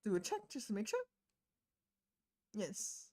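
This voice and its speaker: A woman's voice: medium pitch, very animated, a little slow, darkish tone. A woman streaming a game, chatting casually as she plays and reads dialogue aloud. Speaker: gaming streamer. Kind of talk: casual playthrough chat and dialogue reading.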